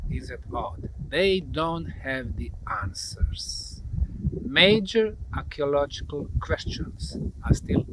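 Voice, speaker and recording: A man presenting a documentary to camera outdoors, speaking emphatically in deliberate phrases with dramatic pauses, in a windy, noisy location recording. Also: on the high side. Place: outdoors in open countryside.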